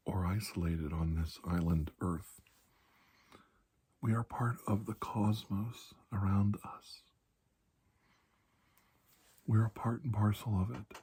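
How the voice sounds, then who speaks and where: Hushed, husky, low-voiced male doctor, acted by a man. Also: heavily acted. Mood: solemn, hushed. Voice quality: soft, breathy, husky, often near whisper. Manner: measured, halting, soothing delivery. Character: calm, reassuring male doctor treating a patient. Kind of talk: ASMR roleplay, hushed storytelling.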